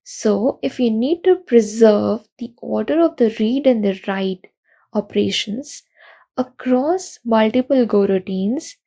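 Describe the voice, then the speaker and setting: A young woman giving a recorded conference talk, explaining programming pitfalls in an earnest, steady way. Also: on the high side, vocal fry. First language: not Russian. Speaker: conference speaker.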